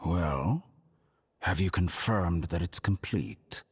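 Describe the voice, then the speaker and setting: A man's voice: sits low, lively intonation, takes it slowly, a little hiss or hum, exaggerated voice. A man playing an arrogant, calculating villain scholar in a video game, speaking in a formal, theatrical, condescending manner. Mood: calm, pressing.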